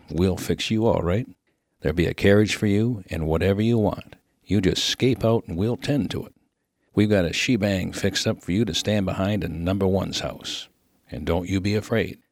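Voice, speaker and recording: Guy doing a low rough-and-ready western miner, folksy american twang, slangy, dry sound, comic storybook. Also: moderate pace, dry recording. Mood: boastful, eager. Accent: rustic American. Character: rough, good-natured Old West miner full of slang. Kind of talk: dramatic reading of a humorous story.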